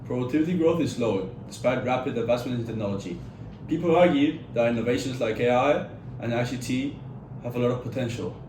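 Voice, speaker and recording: A young man playing a modest male analyst who explains in a measured way, recorded from a distance in an echoey room. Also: mid-range voice, a little mellow, slight background noise, lightly acted. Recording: echoey room, far mic.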